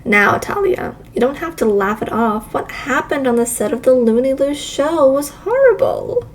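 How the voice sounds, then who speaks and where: A slick late-night host from a visual novel read by a woman works the studio. He is showy and smooth-talking, a man of patter. The recording has some light background noise. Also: high-pitched, sing-song, moderate pace, poor audio. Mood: probing.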